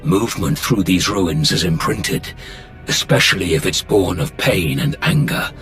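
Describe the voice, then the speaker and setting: An actor playing a menacing male Sith lord with a deep gravelly voice, speaking in a measured, ominous way over game music. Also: lively intonation, a bit bright, heavily acted. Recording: game soundtrack under voice.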